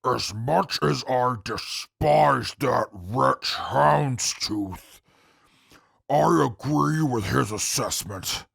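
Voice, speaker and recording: Man playing a very gravelly, haughty prisoner. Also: mid-range voice, drawn-out words, a bit bright, no room sound, clean studio sound, full character voice. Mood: scornful, blunt. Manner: formal, haughty, lecturing. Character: stern, haughty male prisoner, proud and scornful. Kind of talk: post-apocalyptic audio drama acting.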